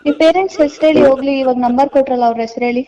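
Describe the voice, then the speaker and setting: A woman's voice: high-pitched, very fast, dark tone. A woman who takes a job call, questioning the caller insistently over the phone. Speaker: job recruiter taking a call. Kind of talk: phone conversation. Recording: dull phone line, faint noise.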